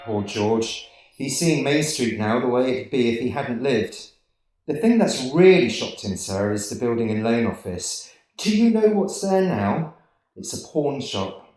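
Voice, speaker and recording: A man playing a gentle, bumbling elderly guardian angel in a radio play, earnest, hesitant and apologetic. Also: medium pitch, expressive, unhurried, close to an everyday voice. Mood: earnest, deferential. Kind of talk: 1940s-style radio play acting. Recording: echoey room, distant microphone.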